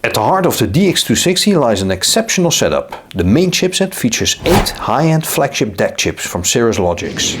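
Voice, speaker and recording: A man's voice: mid-range voice, sing-song, normal speed, few pauses, background noise. A man reading a scripted tech product review in a polished, formal, promotional way.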